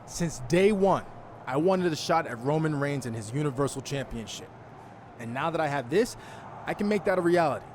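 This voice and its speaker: A determined young male wrestler acted by a man for a video game story scene. His voice sits slightly high and carries cocky confidence. There is faint noise behind him. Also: lively intonation, moderate pace, lightly acted. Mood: confident, challenging. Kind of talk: video game cutscene acting.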